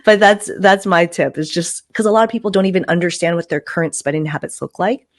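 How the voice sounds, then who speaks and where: A woman with a fairly low voice hosts a podcast in a casual, conversational way. She talks with emphasis and sprinkles in informal filler as she makes her points. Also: fast talker. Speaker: podcast host.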